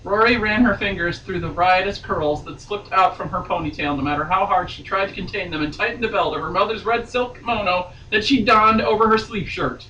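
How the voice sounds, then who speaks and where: A woman's voice: medium pitch, a little fast, hardly any pauses, pushed and bright. A woman giving a theatrical, mock-serious dramatic reading of a romance novel as a guest reader. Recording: echoey room, distant mic, faint noise.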